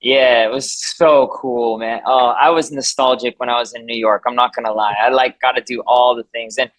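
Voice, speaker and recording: A man's voice: mid-range voice, steady tone, moderate pace, fairly bright tone, dry recording, poor audio. A man whose first language is Spanish, hosting a casual video call, chatty and upbeat with frequent restarts. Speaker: community call host.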